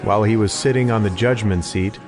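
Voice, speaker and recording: Low-voiced man narrating scripture over music. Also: brisk. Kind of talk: scripted audio Bible narration. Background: music behind the voice.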